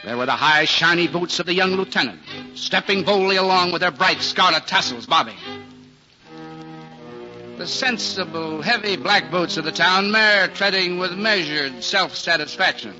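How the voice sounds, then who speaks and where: A male announcer tells a story in formal, measured 1940s radio style. His voice is pitched fairly high, with music clearly playing behind him on a dull vintage recording. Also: expressive. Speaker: narrator and announcer. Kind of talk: scripted 1940s radio drama narration.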